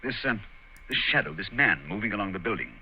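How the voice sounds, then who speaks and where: A confident detective in a 1940s radio play, voiced by a man. He speaks quickly with a lively, swinging pitch and a very bright tone. Faint hiss sits under the old recording. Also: muffled recording, natural voice. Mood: probing.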